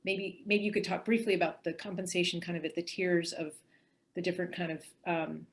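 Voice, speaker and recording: A woman moderating a panel briskly, posing questions to guests. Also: fairly low pitch, gravelly. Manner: brisk, wrapping up.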